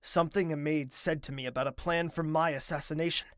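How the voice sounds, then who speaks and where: A man's voice: fairly high pitch, fairly even intonation, fast, fairly bright tone, no echo, clean studio sound, close to an everyday voice. A man playing a grieving, bitter young prince in an audio drama, brooding and intense. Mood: grim narration. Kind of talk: audio drama acting with narration. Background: music.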